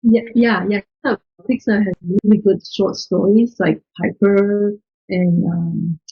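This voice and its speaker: A woman with a Chinese accent answering interview questions in a relaxed, friendly, explanatory way, in slightly dull lo-fi audio. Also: mid-range voice, unhurried, creaky, slightly rough. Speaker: interview guest.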